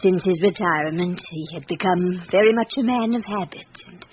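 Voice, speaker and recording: An actress playing an elderly, aristocratic French widow in a vintage radio drama, speaking formally and candidly with composure. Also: female voice, lowish voice, normal speed, lightly acted. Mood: composed, wistful. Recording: dull, hissy old radio broadcast.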